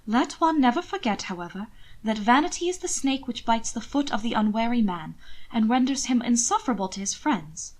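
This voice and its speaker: A woman voicing a prim, guarded young gentleman who speaks in stiff, defensive period language. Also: fairly high pitch, expressive, brisk. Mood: stern reading. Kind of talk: fiction read aloud, character dialogue.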